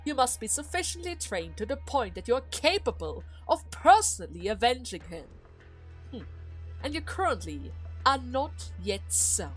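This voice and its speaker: A woman voicing a manipulative older male duke in a dramatic game story, speaking in a measured, formal and condescending way. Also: a little high, very animated, husky, faint music behind, low-quality recording. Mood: calmly condescending. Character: scheming older male duke, coolly manipulative adoptive father.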